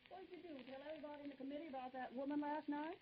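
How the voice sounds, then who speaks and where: A brisk wife, played by a woman, teases and scolds in an old radio drama. Her voice is slightly high and very quick, matter-of-fact and needling. The sound is dull and faintly noisy. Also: steady tone, lightly acted. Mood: scolding.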